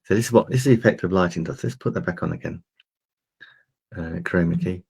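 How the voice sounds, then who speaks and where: A man with a very low, gravelly voice walks viewers through a software demo in a loose, chatty way, full of fillers and restarts. His tone is a bit husky and a little croaky, and he talks into a desk microphone. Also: a little fast. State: slightly croaky voice. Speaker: host demonstrating streaming gear. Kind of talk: casual tech demo talk.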